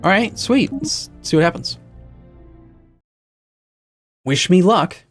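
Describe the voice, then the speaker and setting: A man's voice: high voice, very animated, takes it slowly. Rambling man streaming over faint music. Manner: rambling, self-correcting, reads and answers chat. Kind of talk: casual live-stream chatter while setting up a game.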